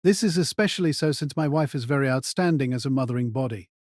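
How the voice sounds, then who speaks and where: A man playing a composed aristocratic mage in an audio drama, measured, indifferent and condescending. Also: mid-range voice, a little mellow, no echo, studio quality, lightly acted. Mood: indifferent, superior.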